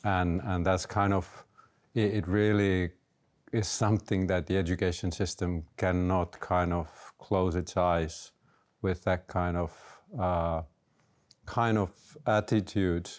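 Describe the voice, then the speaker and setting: A man's voice: low-pitched, flat delivery, slow pace. A man in his fifties with a Finnish accent, speaking hesitantly as a guest in a panel discussion, with many fillers and restarts. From Finland. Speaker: panel guest, education leader. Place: indoor library room with bookshelves.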